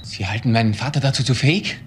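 Man playing indignant son, average pitch, defensive, emphatic, crime radio play, faint noise. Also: fast, lightly acted. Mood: guarded. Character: indignant grown son, bitter about criminals.

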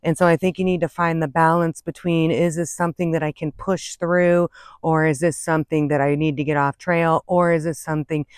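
A woman's voice: deep voice, level tone, brisk. A woman of about fifty-four talks casually to a camera held at arm's length. She gives advice as a hiking vlogger in a conversational way, drifting into small asides. The sound is dry and open, recorded outdoors with no echo. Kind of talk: casual chat to camera, giving tips. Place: outdoors on an open woodland and prairie trail. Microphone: phone or camera at arm's length.

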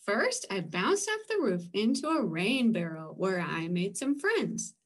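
A woman voicing a breezy, relaxed female raindrop, chirpily explaining her travels in a comic strip read-aloud. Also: mid-range voice, a little slow.

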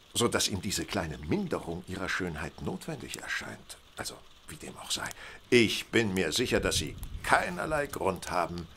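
A man's voice: fairly low pitch, vocal fry, sharp tone, a little hiss or hum, exaggerated voice. An actor playing a coolly logical, condescending male detective in a German radio play, speaking precisely and formally. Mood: condescending, reassuring.

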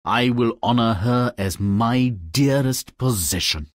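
A man's voice: medium pitch, slow, dry recording, studio quality, close to an everyday voice. A man voicing an earnest, lovestruck prince in a fairy-tale game, ardent and declamatory. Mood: pleading.

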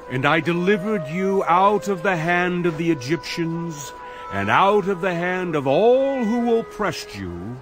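A stern prophet declaims solemnly in a biblical audio drama. His fairly high male voice swings widely and moves slowly, with music clearly behind it. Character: stern prophet proclaiming God's rebuke to his people.

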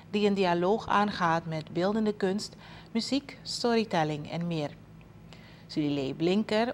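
A woman's voice: lowish voice, slight background noise. A woman with a Surinamese accent presenting scripted news in a television studio.

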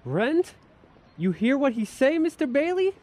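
A proud Italian immigrant in a radio drama. His voice is high and exuberant, with an Italian accent and emphatic broken English. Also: very animated, a little slow, a little mellow, full character voice. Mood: proud, overjoyed. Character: excitable, proud Italian immigrant man, new homeowner.

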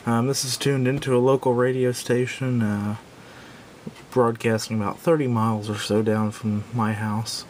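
A man giving a casual, unscripted product review in a halting, self-doubting way, recorded indoors at a tabletop on a camera microphone. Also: level tone, darkish tone. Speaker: gadget reviewer. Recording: clear background noise with low rumble.

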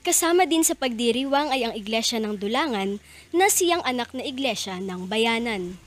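A young woman reporting in a measured, clear manner, holding a handheld mic on a street outdoors. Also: a little high, expressive. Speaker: field reporter. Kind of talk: scripted news report.

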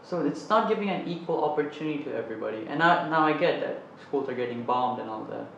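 A man's voice: fairly high pitch, lively intonation, quick pace, creaky, a bit bright, slight background noise. Man on a panel pushing his point hard, super echoey room, a bit muffled. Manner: earnest, argumentative. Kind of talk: opinionated discussion. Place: studio with panel on a couch. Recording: echoey, slightly dull studio sound.

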